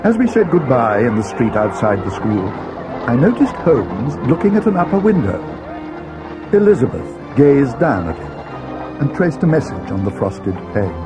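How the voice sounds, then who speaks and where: Male narrator actor in role: schoolboy sidekick recounting a mystery, expressive storybook delivery, music underneath. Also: medium pitch, normal speed, lightly acted, poor audio. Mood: measured, grave.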